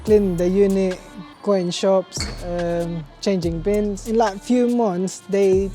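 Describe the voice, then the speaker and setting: A man's voice: high-pitched, steady tone, slow pace, dark tone, soft background music. A man, casually introducing himself to camera. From Philippines. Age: late 20s. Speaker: company staff.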